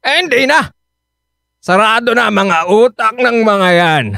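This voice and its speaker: A drunk, short-tempered live-in boyfriend in a radio drama. He slurs and hedges with "eh" and "ah", and his pitch swings wildly from low mutters up to high yelps. The voice sits close and dry. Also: animated, unhurried, creaky, bright, forceful tone, no echo, exaggerated voice. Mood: irritated. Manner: slurring, defensive, full of hesitant "eh" and "ah".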